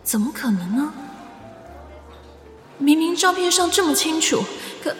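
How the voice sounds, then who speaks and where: Curious, earnest young female cafe worker for audio drama. High register, lively intonation. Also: measured pace, faint music behind. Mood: puzzled. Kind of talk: Mandarin audio romance drama acting.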